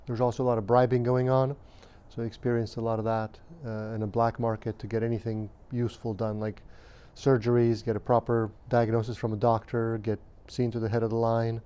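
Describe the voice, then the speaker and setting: Calm, mellow-voiced man from Romania. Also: mid-range voice, clear, resonant tone. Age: 50s. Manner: measured, hesitant with many fillers. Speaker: interviewee. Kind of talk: recounting childhood memories.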